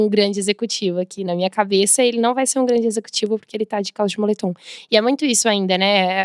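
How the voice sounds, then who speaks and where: A woman's voice: medium pitch, smooth, no echo. A young Brazilian woman answers as a podcast guest in a confident, emphatic way. She slips into voices for the people she quotes. Her voice sits mid-range and sounds close and dry. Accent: Brazilian Portuguese. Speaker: podcast guest, diversity and inclusion expert. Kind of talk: conversational interview answer.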